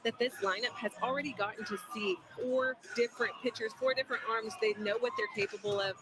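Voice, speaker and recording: A woman's voice: fairly high pitch, fairly even intonation, quick pace, noisy background. A woman working as a color analyst on a broadcast, giving earnest commentary and repeating herself for emphasis.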